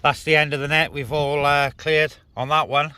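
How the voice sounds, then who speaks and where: A man's voice: fairly high pitch, level tone, moderate pace, bright, forceful tone, smooth, dry recording. A man chatting casually as a CB radio operator and vlogger, speaking outdoors on a hilltop. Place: outdoors on a hilltop, light wind.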